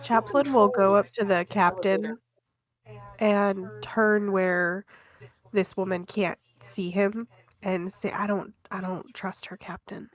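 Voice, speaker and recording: A woman's voice: mid-range voice, fairly even intonation, slow pace. A woman playing a tabletop roleplaying game, casually narrating and speaking for her character.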